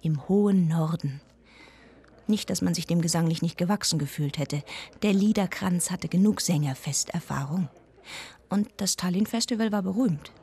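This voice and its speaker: An actress playing a wry storyteller, giving scripted radio drama narration in a husky voice. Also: female voice, fairly low pitch, moderate pace, warm tone.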